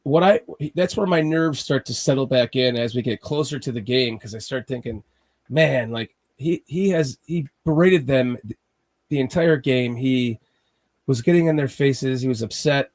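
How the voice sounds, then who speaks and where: A man with a cold hosts a laid-back sports podcast, his voice a touch raspy. He talks in rambling runs, often restarting his sentences and fretting over games. His pitch sits at a middling level for a man. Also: normal speed, a little mellow. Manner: rambling, restarts often, nervous fan talk. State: has a cold, slightly raspy. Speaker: podcast host. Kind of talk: casual sports podcast chat.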